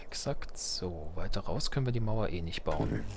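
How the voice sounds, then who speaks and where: A man with a fairly low voice talks his way through a video game as a let's play host. He thinks aloud and mutters to himself in a casual way. There is noticeable background noise with game ambience behind him. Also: lively intonation, normal speed, vocal fry. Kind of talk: casual gameplay commentary. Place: indoors, voice-over on game capture.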